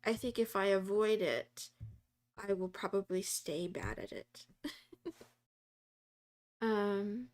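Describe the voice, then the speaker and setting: Slow-talking, rambling woman streamer. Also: medium pitch, sing-song, a little mellow. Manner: rambling, chatty, full of "like" fillers; talks to chat viewers and laughs often. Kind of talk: casual live-stream chat while coding.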